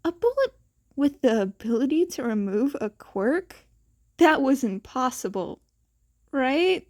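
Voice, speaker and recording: A woman's voice: high voice, sing-song, measured pace. A woman reading a scripted fan fiction story aloud as its narrator.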